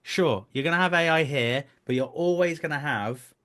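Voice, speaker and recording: A man's voice: on the high side, moderate pace, a bit bright. A man speaking as an interview guest in casual conversation, earnest and emphatic, repeating phrases for stress. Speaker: guest, voice actor.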